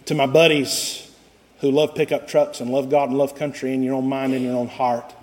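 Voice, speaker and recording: A man preaching a passionate sermon as pastor, swinging from slow, low stretches to loud cries. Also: little pitch movement. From Costa Rica. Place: church sanctuary. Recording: long reverb of a church sanctuary.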